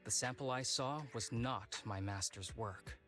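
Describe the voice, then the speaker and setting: A man's voice: medium pitch, a little slow, music in the background, close to an everyday voice. An actor playing a young male executive officer in a video game, breezy and playful with a casual, teasing, secretive way of talking. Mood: wistful, serious. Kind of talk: voiced game dialogue.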